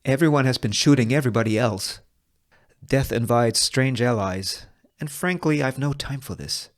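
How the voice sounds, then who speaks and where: Man voicing a sardonic, commanding Western gunslinger woman, pitched up. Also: moderate pace, lightly acted. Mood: impatient. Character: Ruby, tough cool-headed scheming woman gunslinger in a Western.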